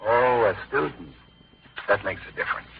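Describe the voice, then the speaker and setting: An actor playing a wry, kindly pawnbroker in a vintage radio drama, with haggling, folksy phrasing. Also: male voice, medium pitch, expressive, moderate pace, creaky, slightly rough, full character voice. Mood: indulgent, coaxing. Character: wry, kindly male pawnbroker with a soft heart. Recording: dull, lo-fi vintage radio recording.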